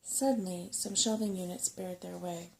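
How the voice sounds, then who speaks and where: Soft-spoken woman with a creaky edge. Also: on the low side, fairly even intonation, moderate pace, a little hiss or hum, low-quality recording. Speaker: storybook narrator and ASMR host. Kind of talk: soft-spoken ASMR bedtime story reading.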